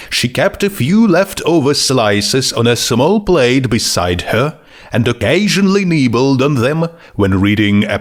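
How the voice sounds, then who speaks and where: A man narrating a written comic story as a scripted audiobook-style reading. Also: medium pitch. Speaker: narrator of fan fiction.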